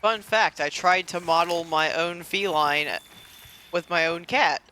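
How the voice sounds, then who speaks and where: Bright, clear-voiced man giving casual game commentary. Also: fairly high pitch, measured pace, low-quality recording. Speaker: gaming video creator.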